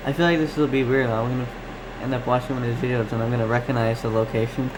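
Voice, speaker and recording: A man's voice: medium pitch, level tone, fast. Man live-streaming over noisy background. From Canada. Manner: rambling, distracted, thinking aloud. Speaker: live stream host, radio hobbyist. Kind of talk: casual live-stream chat, reading and answering comments.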